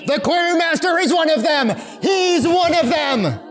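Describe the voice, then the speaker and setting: An actor playing a loud, jeering villager who shouts accusations in a theatrical farce. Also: extremely high pitch, lively intonation, unhurried, music in the background. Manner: shouting, chanting.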